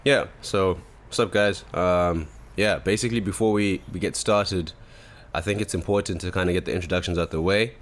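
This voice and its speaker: Young male podcast host, low-pitched voice, loose slangy rambling delivery. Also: fairly even intonation, moderate pace, hardly any pauses. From Zimbabwe. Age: 20s. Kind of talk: casual chat.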